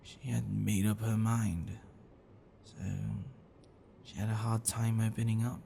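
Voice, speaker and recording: Man playing a low, creaky, gentle dragon boyfriend. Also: flat delivery, a little hiss or hum. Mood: regretful, tender. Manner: halting, soft chuckles and sighs, dropping to whispers. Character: gentle, bookish half-dragon boyfriend, affectionate and apologetic. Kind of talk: intimate ASMR roleplay acting.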